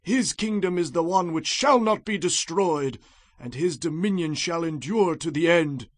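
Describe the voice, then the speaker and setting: An ancient king in a Bible audio drama, reverent and full of feeling. His voice sits fairly high for a man and is recorded close and dry. He declaims in a stately, solemn way. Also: animated, measured pace, no room sound. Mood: solemn proclamation. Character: anguished, reverent Persian king, loud and solemn.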